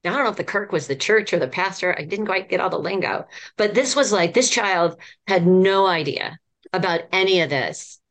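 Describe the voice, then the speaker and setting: A woman's voice: fairly low pitch, expressive, a little fast. A woman in her fifties, a children's ministry professor, telling stories in an earnest, enthusiastic way as a podcast guest. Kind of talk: podcast conversation.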